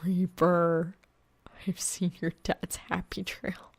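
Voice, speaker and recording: A woman plays a flustered young man in an audiobook. The voice is gravelly and on the low side for a woman. He mutters in a wheezy deadpan, sweary and exasperated. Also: takes it slowly, dry recording, exaggerated voice. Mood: horrified, hushed.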